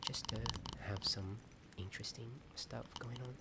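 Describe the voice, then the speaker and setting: A man whispering a hesitant, rambling ASMR chat in a soft, often breath-only voice. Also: medium pitch, fairly even intonation, normal speed. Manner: hesitant, rambling, self-deprecating. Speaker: ASMR video host. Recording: strong ambient noise behind whisper. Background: added ambience sound.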